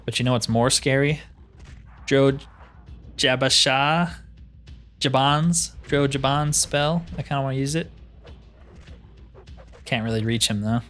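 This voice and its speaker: A man's voice: medium pitch, a little slow, pauses often, fairly bright tone. A man giving casual gameplay commentary, thinking aloud with dry, joking asides. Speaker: gamer narrating a roleplay playthrough. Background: game music.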